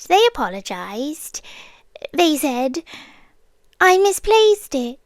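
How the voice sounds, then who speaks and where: A British woman voicing a mysterious, whimsical otherworldly cartographer in an ASMR roleplay, soft and breathy, often slipping into whispers, with a deliberate, musing delivery. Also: sits high, no room sound, full character voice. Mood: amused musing. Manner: slow, deliberate, musing, with dramatic pauses. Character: mysterious otherworldly cartographer, whimsical, gently knowing keeper of maps.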